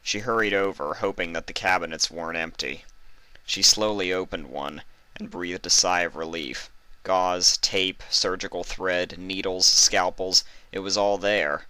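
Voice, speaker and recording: A man with a low voice narrates a story from a script. His tone is bright and forceful, and the sound is close and dry. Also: fairly even intonation, measured pace, clear, resonant tone, no room sound, rough recording. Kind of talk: scripted fan fiction narration.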